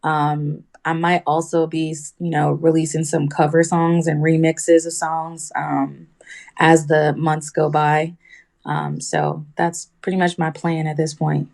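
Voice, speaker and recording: A woman's voice: low voice, unhurried, vocal fry, darkish tone. A woman who makes music, answering casually and easily as a guest in a phone interview. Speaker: music artist being interviewed.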